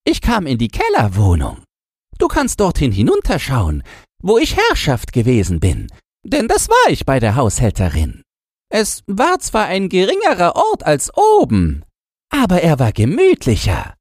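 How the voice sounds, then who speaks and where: A man voicing a boastful, nostalgic old chained dog in a shrill, yapping falsetto for a storybook. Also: huge pitch swings, a little slow, no room sound, studio quality, exaggerated voice. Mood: wistful, nostalgic. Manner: knowing, exclamatory, barking.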